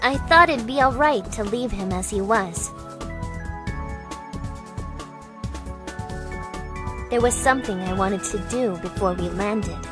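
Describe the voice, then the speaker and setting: A woman playing a composed young woman, narrating a visual novel in a calm, deliberate reading. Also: mid-range voice, close to an everyday voice. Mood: calm, mild. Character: composed young woman recounting events thoughtfully. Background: soft background music.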